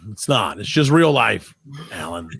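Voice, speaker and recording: A man's voice: lowish voice, very animated, measured pace, gravelly, a bit bright, slightly rough. A man hosting a comedy podcast in casual, playfully argumentative banter. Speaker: podcast host.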